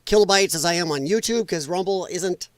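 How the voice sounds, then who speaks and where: A man hosting a casual late-night livestream at home, rambling and self-deprecating as he chats with viewers, his voice a little rough. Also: sits high, fairly quick. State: rough voice, occasional coughing. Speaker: livestream host. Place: home room at night, animals nearby.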